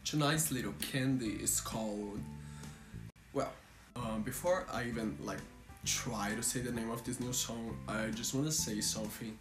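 Gushing young Brazilian man, echoey room. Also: moderate pace. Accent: Brazilian Portuguese. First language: Portuguese. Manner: gushing and playful, casual swearing, jumps to high excited squeals. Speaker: music reaction vlogger. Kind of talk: casual chat. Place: indoor room with a pink backdrop. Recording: roomy echo with music behind.

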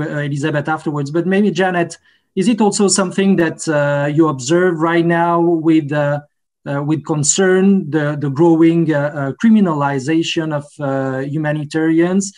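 A man's voice: on the high side, a little mellow. A man moderating a video-call panel, asking long, searching questions.

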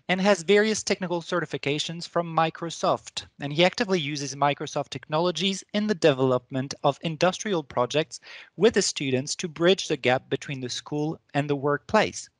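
A man's voice: fairly high pitch, moderate pace, fairly bright tone, no room sound. A man hosting a webinar, reading a formal introduction over a video call. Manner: formal, read-out. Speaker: webinar host. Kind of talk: scripted introduction.

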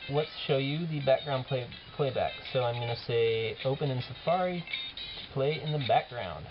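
Male app demonstrator, casual and halting delivery, muffled lo-fi camera audio with music behind. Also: mid-range voice. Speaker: app developer presenting a demo. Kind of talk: informal software demo narration.

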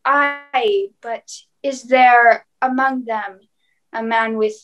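A high-pitched castaway man, played by a woman in a radio play. He is scrawny and pleading, half-wild from long isolation, and talks in folksy, repetitive sailor phrases. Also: fairly even intonation, extremely slow, highs cut off. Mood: anxious, wary. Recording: remotely recorded home performance.

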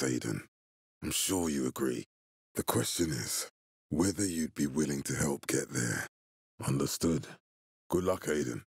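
An actor playing a stern, middle-aged military leader in a post-apocalyptic video game, speaking with formal, deliberate authority in a husky, gravelly voice. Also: male voice, extremely low pitch, no echo, studio quality, heavily acted. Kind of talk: video game character dialogue.

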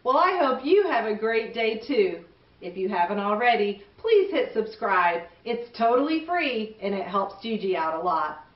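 Animated, high-voiced woman reading to children. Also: normal speed, roomy sound, recorded from a distance, muffled recording. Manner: animated, asking the listener questions. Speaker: children's show host and storyteller. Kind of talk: children's storybook reading and chat to young viewers.

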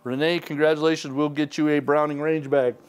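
A man's voice: fairly high pitch, little pitch movement, brisk, clean tone. A middle-aged man hosting a sweepstakes drawing in a small studio, casually announcing winners' names and towns off the cuff. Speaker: sweepstakes host. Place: indoor studio with wood walls and mounted trophies.

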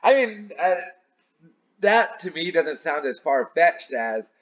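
Slow-paced, rambling man in dull lo-fi audio. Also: on the high side, sing-song. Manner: baffled, rambling, repeats phrases for emphasis. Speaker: online commentator. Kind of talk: casual opinion commentary. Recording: dull, lo-fi sound.

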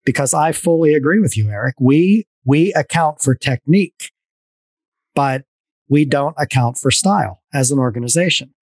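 A middle-aged man hosting a podcast over a video call, telling rambling, animated stories with many restarts. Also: fairly high pitch, moderate pace, dry recording. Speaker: podcast host. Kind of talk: casual video-call conversation. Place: dim home room. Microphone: headset on a video call.

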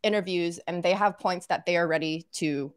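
A chatty woman in her thirties from Arkansas, talking on a video call with a slightly low voice. The sound is dry and close, with no room around it. She talks loosely, with plenty of "like" fillers. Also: normal speed, clean tone, no echo. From Arkansas, United States. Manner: chatty, frequent "like" and "you know" fillers. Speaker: podcast host and guest. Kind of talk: casual interview chat. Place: home room, indoors. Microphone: webcam on a video call.

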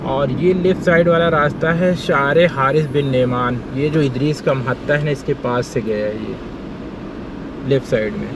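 A man's voice: mid-range voice, normal speed. A man vlogging as he drives through the city, giving a casual running commentary and pointing out roads and shops to the viewer. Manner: chatty, keeps saying "ṭhīk hai" and pointing out sights. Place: inside a car on a city road. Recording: distant mic with loud car and road noise.

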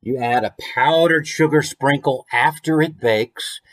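A man in his forties with an American accent, hosting a story time in an expressive, conversational way with chatty asides. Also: a little high, measured pace. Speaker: author reading his picture book. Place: small room at home, indoors.

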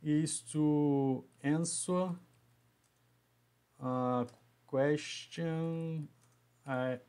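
A man in his thirties with a Brazilian Portuguese accent, hosting a live stream from a small home room into a webcam and talking haltingly, restarting and repeating words as he goes. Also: on the high side, fairly even intonation, a little mellow, smooth. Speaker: engineering educator hosting a live session. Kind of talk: live stream talk to viewers.

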